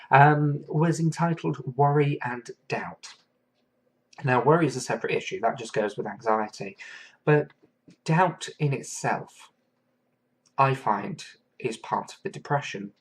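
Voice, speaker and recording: A man's voice: mid-range voice, low-quality recording. Earnest man reflecting on his podcast. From England. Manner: earnest, thoughtful, pausing with fillers. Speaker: podcast host. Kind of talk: reflective solo podcast monologue.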